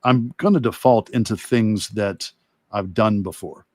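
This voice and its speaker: A man giving a casual, reflective demo talk as an art instructor while drawing, with halting, rambling explanations. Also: fairly low pitch, a little mellow, dry recording, studio quality. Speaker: illustrator and course instructor demonstrating.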